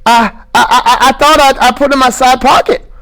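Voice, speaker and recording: A man's voice: extremely high pitch, fairly even intonation, brisk, bright, forceful tone, pitched above the performer's own voice, full character voice. A man reading aloud and voicing a big, simple-minded grown man who stammers timidly and pleads like a child. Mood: timid, pleading. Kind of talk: audiobook character reading.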